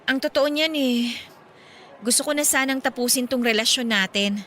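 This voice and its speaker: Bright, high-voiced weary young girlfriend. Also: moderate pace, a little hiss or hum. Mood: guilty, apologetic. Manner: exasperated, apologetic. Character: weary, guilt-ridden young girlfriend ending relationship. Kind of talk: radio drama acting.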